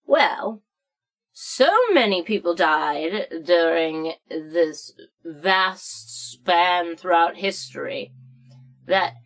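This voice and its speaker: Lady doing a boozy cranky old woman telling stories, slow and halting, rambles a lot, theatrical. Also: on the low side, low-quality recording. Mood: wry lecturing. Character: crotchety, boozy, foul-mouthed old woman storyteller. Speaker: story-time host. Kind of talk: comic storybook narration and history lesson.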